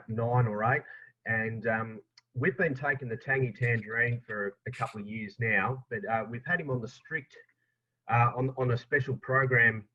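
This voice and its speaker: Lo-fi call-in: Australian male, medium register, hesitant and halting as he puts a question. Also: mid-range voice. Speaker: caller. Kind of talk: asking a question in a live online Q&A. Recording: dull, lo-fi online call.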